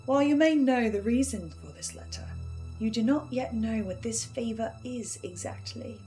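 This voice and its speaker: A woman performing a formal, composed and menacing reader of a sinister letter in a horror audio drama, measured and deliberate. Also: fairly high pitch, expressive, mellow, soft background music, close to an everyday voice. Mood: quietly menacing. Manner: measured, deliberate, ominously polite.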